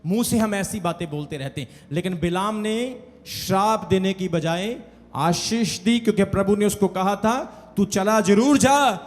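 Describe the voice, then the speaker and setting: A man's voice: high voice, normal speed. A man with a Punjabi accent preaching an emphatic, repetitive call-and-response sermon to a congregation. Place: large church hall, preaching from pulpit. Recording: echoey hall with long reverb.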